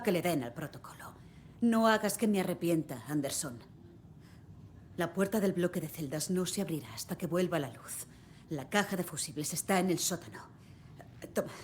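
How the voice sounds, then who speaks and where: A woman's voice: lowish voice, a little mellow, lightly acted. An actress playing a brusque, cautious, matter-of-fact female law agent in video game dialogue. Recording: faint ambient background noise.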